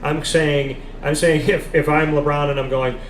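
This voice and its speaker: A man's voice: on the high side, level tone, moderate pace. Emphatic man in an echoey café. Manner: emphatic, repeats points for stress. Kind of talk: live casual sports discussion. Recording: echoey café with background noise.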